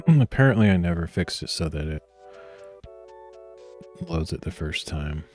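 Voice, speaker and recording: A man with a very deep, grainy voice talks himself through a coding session in a soft, meandering murmur. He clears his throat often, and faint music plays behind him. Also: animated, measured pace. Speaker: streamer coding live. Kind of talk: casual thinking aloud while coding.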